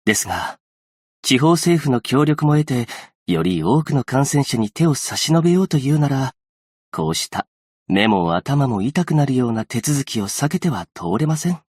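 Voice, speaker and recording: Game role: gentle young male aid worker, earnest and patient, polite delivery, slightly husky, very dry recording. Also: medium pitch, lively intonation, no room sound. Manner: polite, soft-spoken, measured formal speech. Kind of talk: scripted game character voice lines.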